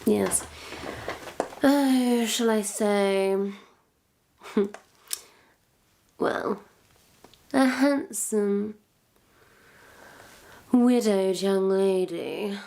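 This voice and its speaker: A woman's voice: medium pitch, very slow, gravelly, pauses often, dark tone. A woman playing a wicked, theatrical witch in a suspenseful roleplay, pompous and florid, savoring each phrase as she toys with a captive. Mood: boastful, brisk. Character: wicked, theatrical female witch, smugly toying with her captive. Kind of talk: suspenseful point-of-view roleplay monologue.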